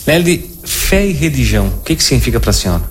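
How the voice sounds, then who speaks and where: A man's voice: medium pitch, very animated, quick pace, slight background noise. A man with a southern Brazilian accent conducting a conversational interview, asking questions in a respectful, attentive way.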